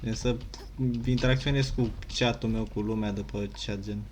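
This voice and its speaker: A man's voice: lowish voice, steady tone, a little fast, slight background noise. A man doing casual, slangy let's-play commentary as a gaming YouTuber. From Romania. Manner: informal, slangy.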